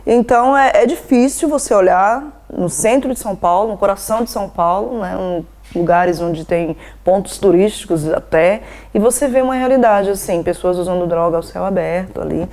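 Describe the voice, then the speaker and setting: A woman's voice: mid-range voice, normal speed, few pauses, darkish tone. A woman from Bahia, an NGO president answering interview questions in a devout, earnest way and often adding "né?". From Bahia, Brazil. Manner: devout, earnest, frequent "né?" tags.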